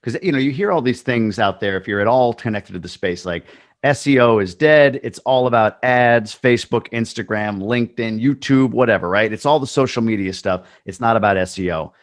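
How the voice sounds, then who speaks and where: A man's voice: medium pitch, a little fast. Upbeat male podcast host. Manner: upbeat, rambling questions. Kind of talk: interview hosting.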